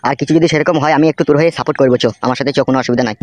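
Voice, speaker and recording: A man's voice: medium pitch, steady tone, racing, no echo, natural voice. A man voicing a blunt, foul-mouthed, world-weary young henchman in an amateur comedy cartoon. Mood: reassuring, bossy. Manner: blunt, swearing, rural colloquial talk.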